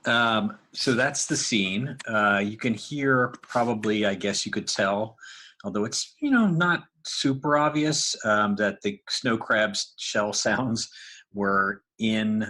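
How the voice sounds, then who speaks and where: A man's voice: fairly low pitch, slow, sharp tone. A man giving a casual, informal walkthrough as a podcast creator and sound designer, explaining loosely as he goes.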